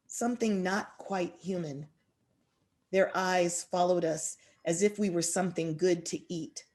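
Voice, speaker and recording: A woman speaking as a guest author, reflective and expressive, deliberately drawing out words for emphasis. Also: lowish voice. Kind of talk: reflective interview answers and poetry reading.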